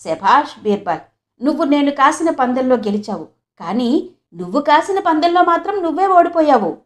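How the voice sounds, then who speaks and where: A woman's voice: high voice, very animated, moderate pace, pitched above the performer's own voice. A woman storyteller voicing a commanding emperor who likes to challenge and tease his clever minister. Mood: teasing.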